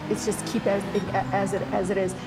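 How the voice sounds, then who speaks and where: A woman's voice: mid-range voice, level tone, brisk, loud music behind the voice. A woman legislator in an impassioned political discussion, often restarting her sentences. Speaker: state legislator guest.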